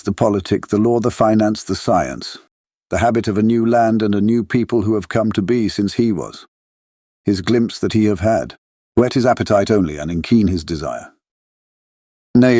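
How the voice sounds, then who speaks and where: An actor playing an earnest older foreign professor and vampire hunter in an audiobook drama, speaking in grave, emphatic oratory as a non-native English speaker. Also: male voice, fairly low pitch, a little mellow, dry recording, studio quality, lightly acted. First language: not English, speaks it with foreign grammar. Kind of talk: full-cast audiobook dramatic monologue.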